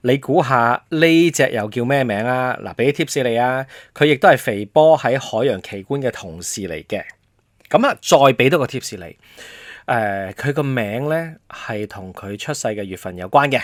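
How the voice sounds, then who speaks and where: A Hong Kong Cantonese man hosts a casual quiz with very varied, animated intonation. He explains things in a playful, prompting way, tossing out questions and hints. The sound is dry, with little room on it. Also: medium pitch, moderate pace, no echo. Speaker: radio host and quizmaster. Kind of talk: casual promotional quiz chat.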